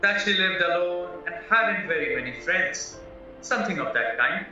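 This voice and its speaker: A naive, polite young husband acted by a man in an audio play, chatty and earnest as he explains himself. His voice is bright, sharp and lively, heard in a heavily echoing space with a distant mic. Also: high-pitched, moderate pace, exaggerated voice. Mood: candid, chatty. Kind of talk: stage-style audio play acting. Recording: very echoey, distant, muffled, music behind.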